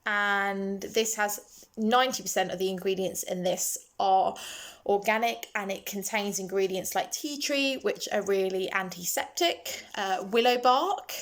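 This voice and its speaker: A woman's voice: medium pitch, measured pace. A young woman with a lisp, casually walking through her skincare products on camera with frequent ums and self-corrections. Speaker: skincare vlogger.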